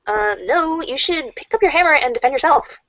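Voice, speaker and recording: A woman's voice: high-pitched, lively intonation, fairly quick, sharp tone, no room sound. A woman playing a casual, frank teenage girl in an amateur dramatic reading, with slangy conversational delivery on a slightly dull recording. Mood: frank, exasperated. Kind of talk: amateur dramatic reading of webcomic chat dialogue.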